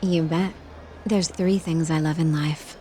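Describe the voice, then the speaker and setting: An actress playing a sultry, flirtatious gambler at a bar in a video game, languid and teasing. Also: female voice, low-pitched, steady tone, a little slow, dark tone, faint music behind. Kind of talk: video game cutscene acting.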